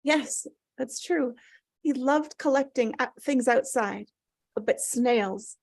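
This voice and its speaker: A woman acts an anxious, grieving mother in a drama read-through. The voice sits fairly high. She speaks haltingly, apologetic yet gently insistent. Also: vocal fry, full character voice. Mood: wistful. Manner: hesitant, halting. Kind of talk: table read of a drama script.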